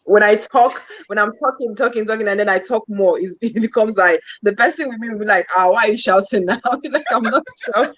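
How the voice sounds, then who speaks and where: A woman's voice: medium pitch, brisk, few pauses, a bit bright, lo-fi sound. A woman guest on a casual panel chat who talks hesitantly and repeats her words as she starts sentences.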